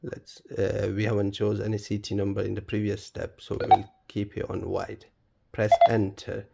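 A man presenting a step-by-step tutorial in a plain, halting, instructional manner. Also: lowish voice, monotone, vocal fry, warm tone, slight background noise. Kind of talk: step-by-step spoken tutorial, unscripted.

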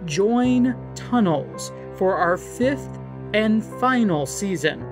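An announcer delivers scripted podcast credits in a medium pitch. Music plays clearly behind the voice. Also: lively intonation, drawn-out words.

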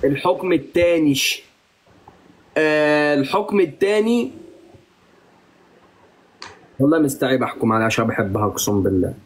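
A man's voice: fairly high pitch, sing-song, normal speed, long pauses, slightly rough. A man with an Egyptian Arabic accent hosting a live stream, teasing and bantering with a guest in a playful, mock-stern way.

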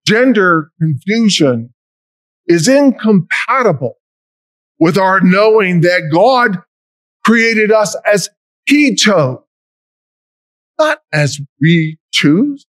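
Slow, high-voiced older deacon preaching. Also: male voice, sing-song, fairly bright tone, dry recording. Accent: American. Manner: slow, measured preaching with emphatic rises. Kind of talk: sermon. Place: large church, at a lectern.

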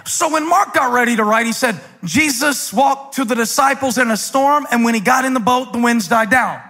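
A man in his forties preaching a sermon on a church stage into a handheld mic, telling a story and then building to loud, fervent emphasis. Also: very high-pitched, normal speed, breathy edge. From United States. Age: 40s. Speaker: pastor. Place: large stage in a church hall. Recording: long hall reverb.

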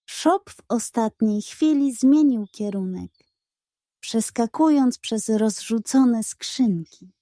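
Slow, mellow woman storyteller. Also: a little high, animated, no room sound, clean studio sound. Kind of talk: scripted narration of a children's detective story.

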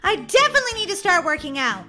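A woman's voice: extremely high pitch, very animated, fast, pushed and bright, faint music behind. A woman hosting a gaming video, roleplaying in an exclamatory, dramatic style. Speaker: gaming channel host. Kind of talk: animated video game roleplay.

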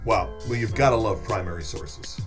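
A man's voice: lowish voice, moderate pace, over music, lightly acted. A middle-aged man playing a genial, teasing local podcast host in a scripted interview. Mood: curious. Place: small furnished podcast studio. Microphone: studio microphone on a desk stand.

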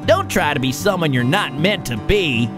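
An actor playing a kind, reassuring tow truck in a children's cartoon, with a calm, encouraging manner. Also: medium pitch, normal speed, over music, natural voice.

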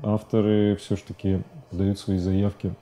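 A man in his forties talking as a guest in a studio interview, halting and repeating words as he searches for phrasing. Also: fairly low pitch, flat delivery, mellow. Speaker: interview guest, animation director. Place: TV studio at a desk. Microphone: lapel mic.

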